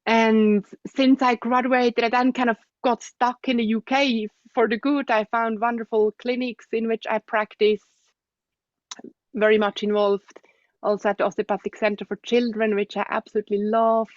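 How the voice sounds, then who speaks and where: A young adult woman with a Swiss accent, a guest speaking thoughtfully in an interview, with soft laughs and hums of agreement. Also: a little high, flat delivery, moderate pace, no echo, muffled recording. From Switzerland. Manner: thoughtful, soft-spoken, frequent gentle laughs and hums of assent. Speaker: guest, osteopath. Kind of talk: reflective interview conversation.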